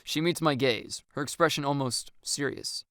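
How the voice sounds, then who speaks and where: Gravelly, expressive audiobook narrator, close and dry. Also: medium pitch, normal speed, no echo. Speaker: story narrator. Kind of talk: first-person audiobook narration with acted dialogue.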